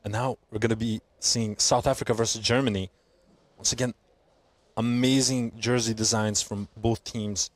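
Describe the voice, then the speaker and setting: A young man from Belgium hosting a live sports stream, chatting with viewers and calling the play with rising excitement. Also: on the low side, normal speed, no room sound. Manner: chatty, reads out chat messages, laughs often, excited during play. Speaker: livestream commentator. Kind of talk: casual stream chat and play-by-play commentary.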